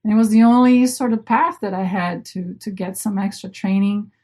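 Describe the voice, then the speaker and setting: A middle-aged woman who grew up in Brazil with Portuguese as her native language speaks as an interview guest. Her voice is mid-pitched, and she talks informally, rephrasing as she goes. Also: normal speed, mellow. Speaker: interview guest, marine biologist. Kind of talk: casual personal storytelling.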